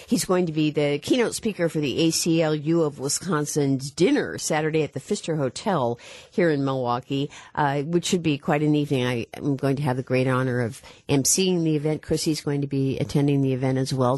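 A woman hosting a live radio talk show, earnest and measured as she introduces a guest. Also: deep voice, a little mellow, no room sound. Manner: earnest, measured, reads quotes aloud. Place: radio studio.

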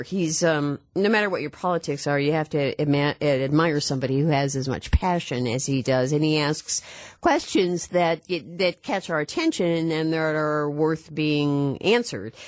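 A Midwestern woman hosts a live radio talk show. Her voice sits low and sounds dry and close, and she speaks in an earnest, measured way. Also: dry recording. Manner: earnest, measured, reads quotes aloud. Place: radio studio.

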